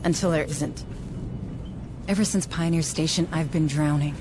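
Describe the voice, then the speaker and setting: An actress playing a stern, composed corporate administrator in video game dialogue, with a brisk, challenging manner. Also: female voice, sits low, fairly even intonation, music in the background, close to an everyday voice. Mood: reflective, businesslike. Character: stern, composed corporate administrator, a duty-minded adult woman.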